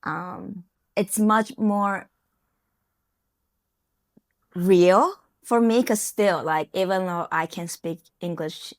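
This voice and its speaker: A woman's voice: lowish voice, slow pace, long pauses, no echo. A young woman from Taiwan with a Taiwanese accent, whose first language is Mandarin, talking casually to camera in a chatty way with many fillers and small grammar slips. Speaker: software engineer and vlogger.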